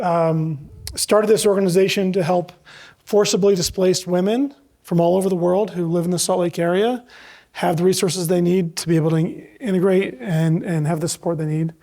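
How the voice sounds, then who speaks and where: A man's voice: high voice, creaky, warm tone. A man introducing a guest and interviewing at a live event in the manner of a university teacher.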